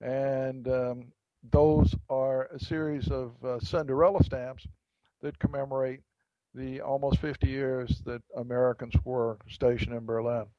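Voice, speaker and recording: A man, an author, being interviewed, telling an anecdote in a reflective way with pauses and restarts. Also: mid-range voice, level tone, no room sound, dull audio. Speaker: author guest. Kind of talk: interview storytelling.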